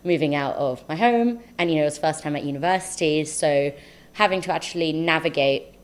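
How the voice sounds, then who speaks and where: A woman's voice: sits low, fairly quick, clean tone. A young woman with a London accent, chatting enthusiastically and reflectively as an interview guest. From South London, England. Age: young adult. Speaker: podcast guest, medical student. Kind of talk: casual interview conversation.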